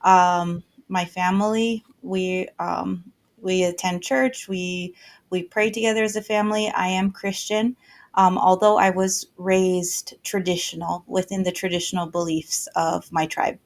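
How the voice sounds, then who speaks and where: A woman's voice: lowish voice, little pitch movement, measured pace. A woman from South Dakota introducing herself in a friendly way, with frequent fillers, over a video call. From United States (South Dakota). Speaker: church conference superintendent and doctoral student.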